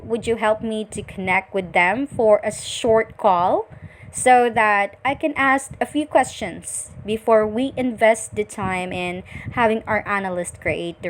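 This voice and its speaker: A woman from the Philippines with a Filipino accent, speaking politely and deliberately as a virtual assistant on a scripted sales call. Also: mid-range voice, a little hiss or hum. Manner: polite, deliberate, frequent acknowledging murmurs.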